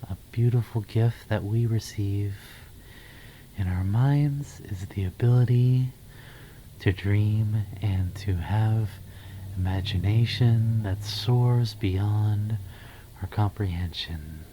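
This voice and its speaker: A man guiding a meditation in ASMR style, speaking softly and breathily, half in a whisper, with a soothing, gentle manner and pauses between phrases. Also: lowish voice, dull audio, a little hiss or hum.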